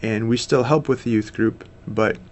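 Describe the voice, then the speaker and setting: A man's voice: lowish voice, steady tone, moderate pace, darkish tone. An American man in his thirties, a missionary guest, talking earnestly and steadily in a casual interview, with frequent fillers. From United States.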